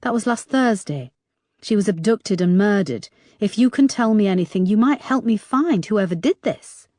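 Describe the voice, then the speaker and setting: A woman's voice: medium pitch, expressive, normal speed, mellow, no room sound, studio quality, voice pushed up, natural voice. A woman reading aloud, voicing a persistent woman police detective who questions firmly.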